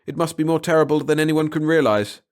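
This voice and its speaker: A man's voice: fairly high pitch, fast, smooth, voice pushed up, natural voice. Man acting a commanding, obsessed male scientist, raised voice. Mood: urgent, formal. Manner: formal, insistent. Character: intense middle-aged male biologist, commanding and obsessed. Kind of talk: dramatic audiobook character reading.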